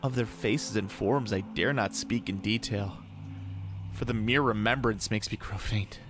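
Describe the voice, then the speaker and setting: A man's voice: lowish voice, a little slow, background noise. A man reading a horror story aloud in a theatrical, brooding, ornate literary delivery. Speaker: podcast host reading horror tales aloud. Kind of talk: dramatic scripted horror story narration. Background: atmospheric ambience sound bed.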